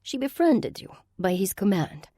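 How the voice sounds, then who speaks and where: A woman's voice: medium pitch, very animated, moderate pace, warm tone, breathy edge, dry recording, clean studio sound. A woman voicing an elegant older sorceress, speaking serenely and coaxingly with a cold edge underneath. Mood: silky menace.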